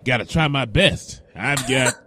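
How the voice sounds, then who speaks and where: A man's voice: mid-range voice, a little slow, pushed and bright, a little hiss or hum. A man voicing a protective, folksy pony father in a wasteland audio drama, with a drawling Southern US accent. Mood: warm, jovial.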